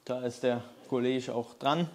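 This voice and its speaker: Mid-pitched man as co-host; reads the questions aloud and tosses in quick agreeing words. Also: steady tone. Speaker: co-host reading out viewer questions. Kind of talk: casual Q&A chat.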